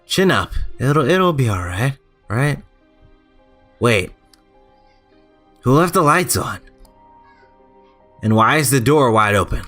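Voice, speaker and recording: A man's voice: mid-range voice, very animated, takes it slowly, long pauses, pushed and bright, soft background music. A man giving casual, chatty let's play commentary and reading game text aloud, stumbling now and then. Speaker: gaming channel host.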